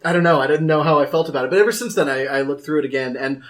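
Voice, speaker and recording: A man with a high-pitched voice chats as a podcast co-host. He is irreverent and jokey, and drops swear words into loose, casual banter. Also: racing, a bit bright. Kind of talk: casual podcast banter about a card game.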